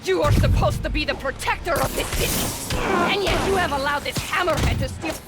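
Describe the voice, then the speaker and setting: Game villain-like role: stern foreign mercenary, icy, formal, menacing, loud music behind. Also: female voice, high-pitched, a little fast. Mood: angry. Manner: cold, formal, threatening. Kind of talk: video game cutscene acting.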